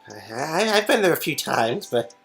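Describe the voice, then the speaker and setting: A man's voice: fairly high pitch, big pitch swings, normal speed, vocal fry, a bit bright, soft background music, pitched above the performer's own voice, exaggerated voice. A man voicing an evasive, flustered young man in a romance game, stammering and over-eager. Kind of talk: hammy visual-novel dub.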